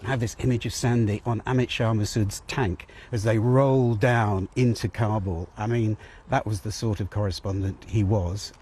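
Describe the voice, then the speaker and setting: A man's voice: on the low side, steady tone, normal speed, darkish tone. A man speaking unscripted, sharing recollections in an interview. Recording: faint background noise.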